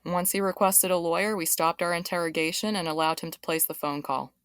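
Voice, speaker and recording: A female police detective giving testimony in an audio drama. She speaks in a very low register, matter-of-fact and careful, giving away little, recorded close and dry. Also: steady tone, a little fast, a bit bright, clear, resonant tone, no room sound. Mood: guarded. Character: terse, guarded female police detective on the witness stand.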